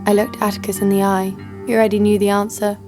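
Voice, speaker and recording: A woman's voice: mid-range voice, level tone, fast talker, natural voice. A young woman playing a sarcastic, foul-mouthed bounty hunter who narrates her own story in an audio drama. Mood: wry narration. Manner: dry, sardonic. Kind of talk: first-person narration and dialogue in audio drama. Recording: music behind the voice.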